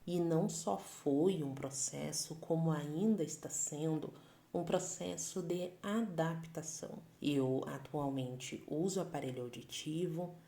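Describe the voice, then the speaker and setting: Woman, Brazilian Portuguese accent, 30s, low voice, warm dark tone, measured testimony. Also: roomy sound. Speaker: Libras educator. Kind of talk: personal testimony to camera.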